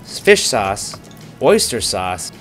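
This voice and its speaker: A young man narrating his cooking vlog with deadpan, irreverent jokes. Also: on the low side, drawn-out words, over music. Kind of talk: joking cooking voice-over.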